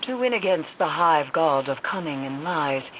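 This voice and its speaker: A grave cryptic mystic lady in a video game, formal and ominous, loud sfx behind her. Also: low-pitched, expressive, normal speed. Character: grave, cryptic female occult mystic advising warriors. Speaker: mission guide. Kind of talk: theatrical game dialogue. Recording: noisy game sound effects behind.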